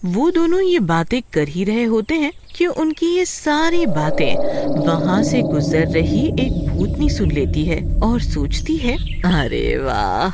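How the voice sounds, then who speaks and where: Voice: mid-range voice, very animated, takes it slowly, very noisy. A storyteller giving a dramatic scripted narration of a horror tale. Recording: faint music behind the voice. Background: faint music.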